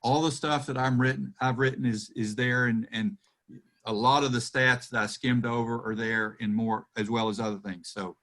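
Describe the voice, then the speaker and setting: Male guest speaker, halting and informal, mid-range voice on a call. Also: mid-range voice, fairly even intonation, a bit bright. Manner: loose, halting phrasing. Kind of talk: casual video call chat. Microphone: video call.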